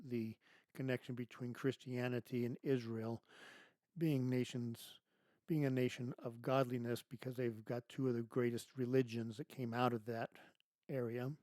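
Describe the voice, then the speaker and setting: A man hosting his own show, delivering an emphatic political monologue full of rhetorical questions and restarts, his voice going hoarse. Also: medium pitch, dark tone, dry recording. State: losing his voice. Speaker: podcast host.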